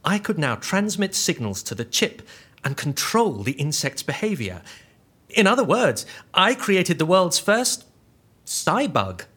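Husky, anxious nerdy scientist. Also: male voice, high voice, sing-song, a little slow, fairly bright tone, exaggerated voice. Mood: proud, explanatory. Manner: precise, literal-minded, explanatory. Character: anxious, earnest, nerdy insect scientist on the run, male. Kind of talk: audio drama dialogue.

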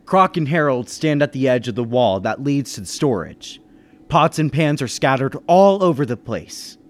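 An actor playing a level-headed businessman who narrates a murder mystery in old-time radio style, measured and reasoning. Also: mid-range voice, very animated, close to an everyday voice. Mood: calm narrating. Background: music behind the voice.

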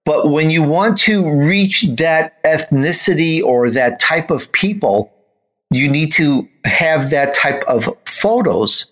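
A man's voice: on the high side, lively intonation, slow pace, fairly bright tone, low-quality recording. American man hosting an interview. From United States, grew up in Chicago. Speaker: show host, interviewer. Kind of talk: conversational interview.

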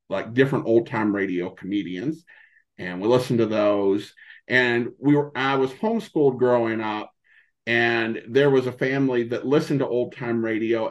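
A middle-aged man, a podcast guest, answering in a casual, halting way and often rephrasing himself. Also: medium pitch, a little mellow, lo-fi sound. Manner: halting, hesitant, frequently rephrasing. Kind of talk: casual interview answers, reminiscing.

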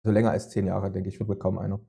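A male podcast host interviewing a guest. His voice is dark, mellow and gravelly. He builds long questions that wander before landing, filled with hesitation sounds. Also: sits low, level tone, quick pace.

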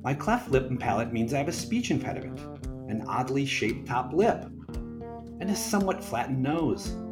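A man reading an essay aloud as a podcast guest, in an earnest, emphatic way. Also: mid-range voice. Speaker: guest reader.

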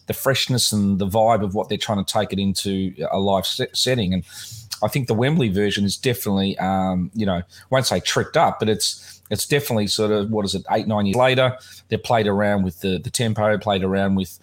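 A man with a somewhat low, slightly croaky voice hosts a relaxed music chat. He rambles along with plenty of fillers and restarts. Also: a little fast. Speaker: podcast host.

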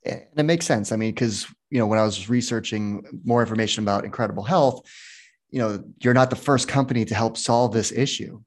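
Host, middle pitch, casual friendly interviewer asking open questions. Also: fairly quick. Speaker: podcast host.

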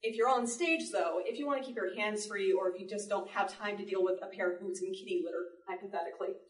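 A woman giving a live demonstration talk to an audience, explaining in an animated way with playful asides. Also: medium pitch, fast talker. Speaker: panel presenter, sound effects artist. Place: indoor library panel before an audience. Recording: echoey room, distant microphone.

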